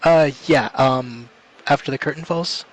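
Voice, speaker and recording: A man's voice: mid-range voice, unhurried, poor audio. A man commenting casually on the game he is playing, in a jokey, self-deprecating way.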